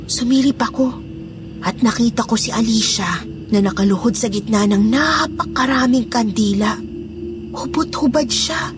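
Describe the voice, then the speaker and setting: A woman acting as a loyal, frightened housemaid with a fairly high voice. She confides dark secrets respectfully and with suspense, in a horror audio drama with prominent music underneath. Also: normal speed, lightly acted. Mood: grave, suspenseful.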